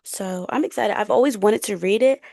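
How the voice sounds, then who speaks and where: A woman's voice: medium pitch, brisk, creaky, dark tone, dry recording, studio quality. A young woman co-hosting a podcast, chatting casually in loose, slangy everyday speech. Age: young adult. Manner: colloquial, slangy, frequent "like". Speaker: podcast co-host. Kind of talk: casual chat. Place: bedroom.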